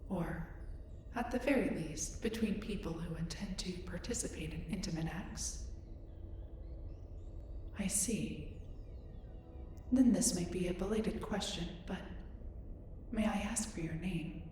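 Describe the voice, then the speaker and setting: A curious and polite female alien scientist, acted by a woman, speaks in a lowish voice with careful formality. She is emotionally reserved and chooses her words precisely, as in an audio drama roleplay. The sound is heavily reverberant and distant, with clear noise behind it. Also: natural voice. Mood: inquisitive. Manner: formal, measured, precise wording. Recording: roomy echo, distant, clear background noise.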